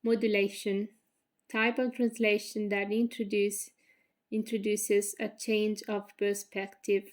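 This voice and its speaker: A young woman with an Italian accent reads her prepared thesis presentation in English. Her delivery is flat and even, careful and measured, with the odd slip as she corrects herself. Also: mid-range voice. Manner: careful, measured reading with slips. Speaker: graduate student.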